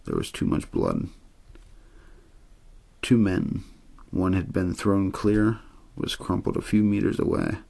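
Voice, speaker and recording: A man reads a horror novel as a bedtime ASMR story. His voice is very low and heavily creaky, with a husky rasp underneath. The delivery is understated and untheatrical, though his pitch moves around noticeably as phrases fall away. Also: wildly swooping pitch, slow, mellow. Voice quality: gravelly, husky. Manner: low-key, untheatrical narration. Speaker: audiobook-style story reader.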